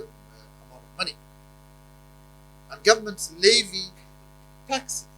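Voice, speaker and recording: A man's voice: a little high, sharp tone, slight background noise, rough recording. A man from Somalia who is not a native English speaker, giving an emphatic conference speech with frequent hesitations and repeated words. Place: conference hall.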